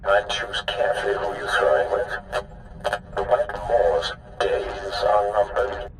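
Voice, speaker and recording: A man's voice: fairly low pitch, reverberant, far from the mic, slight background noise, rough recording. An actor playing a haughty, coldly demanding male alien aristocrat in a video game, speaking formally and imperiously. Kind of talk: video game cutscene acting.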